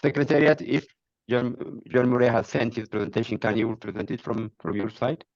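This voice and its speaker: A man's voice: mid-range voice, steady tone, moderate pace, gravelly, dry recording, muffled recording. A man moderating a webinar over a video call, polite and formal, with fillers.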